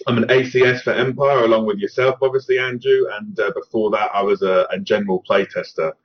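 A man's voice: fairly low pitch, flat delivery, fast. A man with a Scottish accent, talking casually as a podcast guest and often restarting his sentences. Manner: rambling with frequent restarts. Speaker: podcast guest, tournament organizer. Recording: slightly muffled podcast audio.

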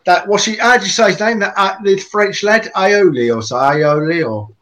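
Emphatic animated Englishman. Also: high-pitched, moderate pace, a little hiss or hum. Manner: animated, emphatic, repeats himself for effect. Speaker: podcast co-host. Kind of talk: casual football chat.

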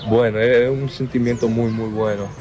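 A young man in his twenties with a Dominican accent, speaking in an interview in a slow, halting way that repeats words. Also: medium pitch, little pitch movement, darkish tone. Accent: Dominican Spanish. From Dominican Republic. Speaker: basketball player interviewed. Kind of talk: outdoor interview.

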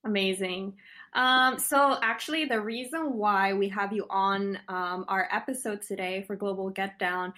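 A woman's voice: mid-range voice, steady tone, measured pace, bright, forceful tone. A young woman co-hosting a student podcast, asking questions in a friendly manner with frequent um fillers.

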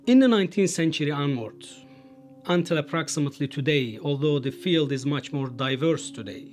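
A man from Turkey, a history scholar, explaining in a measured way and stressing key words. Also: on the high side, darkish tone, soft background music. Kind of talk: interview excerpt, explanatory.

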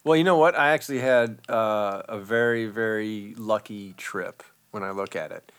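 Man, middle-aged, bright forceful tone, casual storytelling, rambling with fillers. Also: lowish voice, moderate pace, clear, resonant tone. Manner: rambling, animated, many fillers.

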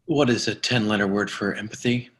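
A man in a readers' theatre play, playing a self-important bald office boss who speaks deliberately and haltingly. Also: lowish voice, lightly acted. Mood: preoccupied.

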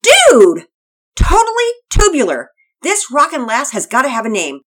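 A woman's voice: high-pitched, huge pitch swings, normal speed, fairly bright tone, voice pushed up, heavily acted. A woman voicing an enthusiastic, slangy surfer-dude teenage boy in a read-aloud story. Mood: excited. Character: laid-back surfer-dude teen boy.

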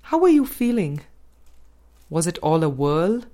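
A woman narrating a spiritual dialogue book as a scripted audiobook reading, with measured, deliberate phrasing and pauses. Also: fairly low pitch, sing-song, mellow. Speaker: audiobook reader.

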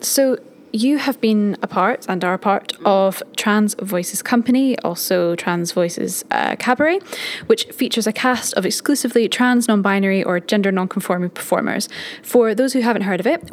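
A young woman in her twenties hosting a podcast, asking questions in a measured way. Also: mid-range voice, a little hiss or hum. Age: 20s. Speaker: podcast host. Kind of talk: casual interview chat.

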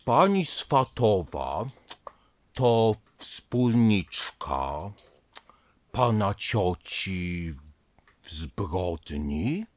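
A man playing a ponderous psychotherapist in a radio comedy, musing aloud with drawn-out, dryly sardonic asides. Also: low voice, sing-song, no echo, full character voice. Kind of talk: radio comedy drama acting.

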